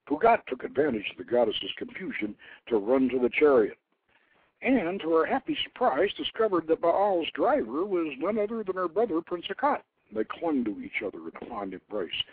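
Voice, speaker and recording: A man narrating an ancient legend as a storyteller, with theatrical, grandiose and deliberate phrasing. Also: mid-range voice, no room sound. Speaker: storyteller, host reading his own novelette. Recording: very dry internet radio, narrow phone-like band.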